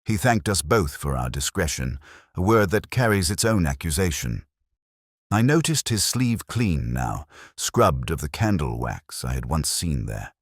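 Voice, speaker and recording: A man playing a melancholy, world-weary English police inspector, narrating his own story in a measured, literary, reflective way. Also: a bass voice, very animated, no echo, studio quality, exaggerated voice. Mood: quietly sceptical. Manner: measured, brooding, literary delivery. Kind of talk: scripted first-person narration, literary detective drama.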